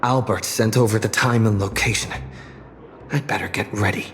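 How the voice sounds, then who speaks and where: An actor playing an earnest young male hero in a video game, with a hesitant, deliberate way of talking. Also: lowish voice, fairly even intonation, fairly bright tone, slight background noise, close to an everyday voice. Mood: resolute.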